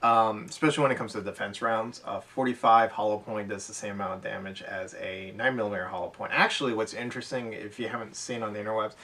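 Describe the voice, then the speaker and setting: A man's voice: fairly low pitch, fairly even intonation, quick pace, few pauses, fairly bright tone. A man in casual, unscripted conversation, explaining things and thinking aloud in loose, run-on sentences. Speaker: co-host of an airsoft podcast. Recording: voice distant from the microphone.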